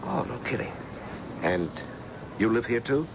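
A man's voice: mid-range voice, very animated, measured pace, gravelly. An actor playing a casual, wry male private detective and former cop in a vintage American radio drama. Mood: casual, curious. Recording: dull, noisy old radio broadcast.

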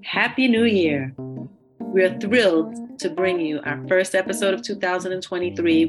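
A woman's voice: fairly low pitch, rough recording. A woman host reading a scripted, upbeat introduction to a podcast episode.

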